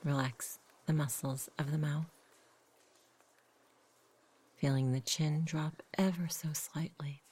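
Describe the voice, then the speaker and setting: Low, breathy whispering woman meditation guide. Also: fairly even intonation. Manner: soft, gentle, unhurried. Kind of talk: guided sleep meditation, slow soothing narration.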